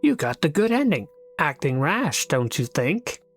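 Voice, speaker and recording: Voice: medium pitch, big pitch swings, unhurried, soft background music. A performer voicing a prying, insistent onlooker in a role-play drama, full of pointed questions. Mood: nagging.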